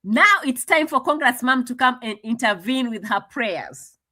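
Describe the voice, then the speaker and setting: A woman's voice: a little high, expressive, moderate pace, sharp tone. A woman commenting casually on a clip, translating and mocking with frequent laughs.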